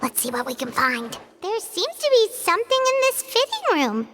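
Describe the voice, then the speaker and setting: A woman voicing a tiny, childlike, excitable and easily startled floating fairy companion in a video game, with a squeaky voice. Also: moderate pace, noisy background. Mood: musing. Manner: exclamatory, dramatic, easily startled.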